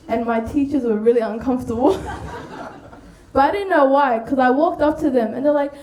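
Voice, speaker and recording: A woman's voice: sits high, normal speed, long pauses, a little mellow. A woman doing stand-up storytelling on stage, often giggling through her own lines. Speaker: stand-up comedian. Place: on stage in a comedy venue. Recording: echoey live comedy venue. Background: light audience noise.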